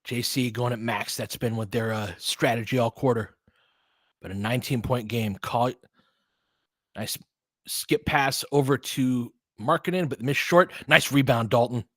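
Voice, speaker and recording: Young male fan play-by-play caller, mid-range voice, excitable and laughing, dry close sound. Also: medium pitch, no room sound. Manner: excitable, laughs often, quick asides. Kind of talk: live play-by-play commentary and chat.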